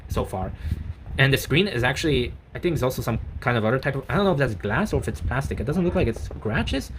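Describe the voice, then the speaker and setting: Man giving a halting review, noisy recording. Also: medium pitch. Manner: halting, rambling, frequent self-corrections. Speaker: product reviewer. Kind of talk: casual unscripted product review.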